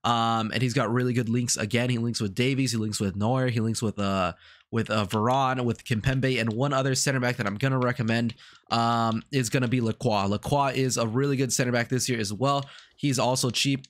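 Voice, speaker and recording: A man's voice: medium pitch, steady tone, brisk. A man with a congested, sick-sounding voice, rambling casually through video game commentary with lots of fillers and repetition. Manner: rambling, repeats himself, many fillers. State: congested from a cold. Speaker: gaming YouTuber.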